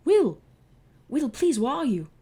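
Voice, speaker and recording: A woman's voice: high voice, very animated, measured pace, mellow, voice pushed up, full character voice. A woman voicing a formal, stately young pharaoh who speaks in a raised voice. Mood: calling out. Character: haughty young man, an Egyptian pharaoh, worried.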